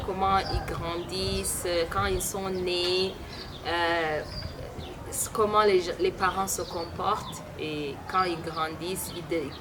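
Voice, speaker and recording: Woman host, slow and halting, low pitch, loud noise behind her. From Burundi. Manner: slow, many filler pauses. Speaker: interviewer and host. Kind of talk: hesitant interview hosting.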